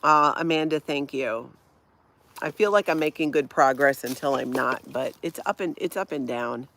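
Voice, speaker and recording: Livestream host: middle-aged woman, light Minnesota accent, some vocal fry, casual and chatty. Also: sits low, moderate pace, fairly bright tone. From Hawaii. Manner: chatty, laughs often, reacts to viewer comments. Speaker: livestream host reading chat. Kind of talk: casual live-chat talk.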